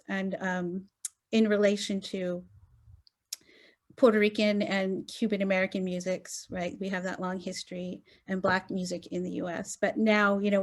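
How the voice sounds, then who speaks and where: A woman scholar speaking on an academic roundtable over a video call, earnest and thinking aloud with many fillers and "right?" tags. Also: medium pitch. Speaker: gender studies scholar on roundtable panel.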